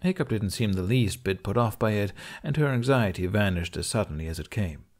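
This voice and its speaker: A man's voice: fairly low pitch, animated, fairly quick, a little mellow. A man reading scripted fiction as an audiobook narrator.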